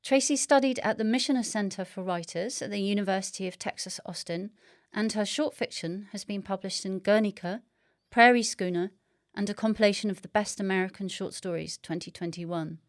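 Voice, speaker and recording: A woman presents a guest in the manner of a scripted interview introduction. Her voice sits at a middle pitch. The sound is dry, without room echo. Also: fairly quick.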